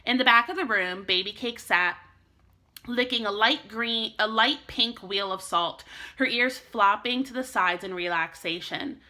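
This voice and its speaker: A woman teacher reading a children's storybook aloud in an expressive way meant for young listeners. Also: mid-range voice, unhurried.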